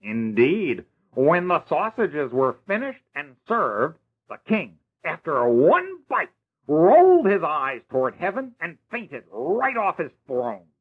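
A man's voice: fairly high pitch, big pitch swings, slow, husky, full character voice. A man playing a kindly older godfather who narrates a fairy tale in a theatrical, playful way. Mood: warm. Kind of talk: storybook narration. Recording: tinny old lo-fi recording.

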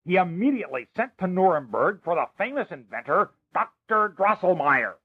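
A man's voice: high-pitched, sing-song, normal speed, husky, heavily acted. A man playing a theatrical old storyteller. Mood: warm. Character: kindly older male godfather and storyteller. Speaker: narrator. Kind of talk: storybook narration. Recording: tinny old lo-fi recording.

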